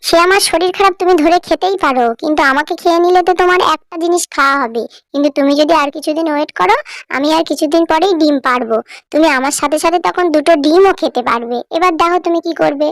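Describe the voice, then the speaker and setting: Woman as a tiny high-pitched coaxing mother sparrow. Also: fast talker, dry recording, clean studio sound. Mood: pleading, coaxing. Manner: coaxing, persuasive. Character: clever pleading little mother sparrow. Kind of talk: cartoon voice acting.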